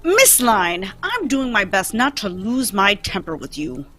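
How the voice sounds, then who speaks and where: A woman's voice: medium pitch, big pitch swings, a little slow, fairly bright tone, soft background music. A woman reading a blunt male police detective in a live old-time radio table read, firm and questioning. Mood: stern.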